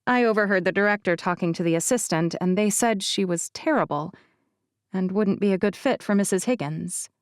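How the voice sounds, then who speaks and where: A woman voicing a guarded, self-effacing young actress in an audiobook, telling her story in a measured, confiding way. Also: mid-range voice, clean tone, no echo, clean studio sound, lightly acted. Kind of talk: audiobook character dialogue.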